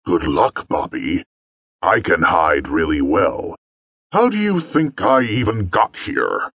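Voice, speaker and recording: A man voicing a sly male lion who talks in a low, slow, husky voice and demands his share. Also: very animated, fairly bright tone, dry recording, clean studio sound, heavily acted. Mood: smug.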